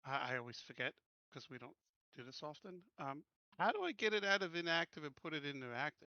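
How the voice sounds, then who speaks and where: A man's voice: on the high side, animated, a little fast, bright, forceful tone, no room sound, lo-fi sound. A man chatting casually as a player in a tabletop roleplaying game. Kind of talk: casual chat.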